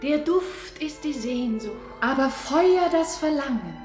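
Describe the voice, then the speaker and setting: A woman's voice: high voice, lively intonation, a little slow. A woman playing a loving nature spirit in a radio drama, speaking in a lyrical, enticing, incantatory way. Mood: tender, enraptured. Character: loving nature spirits of flowers, fire and springs, female. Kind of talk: poetic German radio drama acting. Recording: echoey studio with music behind. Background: music with violin.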